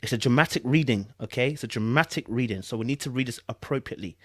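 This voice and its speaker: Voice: mid-range voice, fast talker, fairly bright tone, no room sound, clean studio sound. A podcast host with an urban London accent and slangy speech, commenting in an exasperated, incredulous way and repeating phrases for emphasis. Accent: British, urban London slang. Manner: informal, slangy, conversational. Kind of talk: animated commentary on a celebrity breakup statement.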